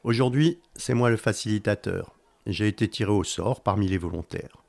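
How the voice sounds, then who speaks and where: A man's voice: fairly low pitch, moderate pace, gravelly, darkish tone. A man playing an earnest, slightly disorganised mediation facilitator in an audio drama, formal and procedural. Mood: earnest, flustered. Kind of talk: French audio drama dialogue.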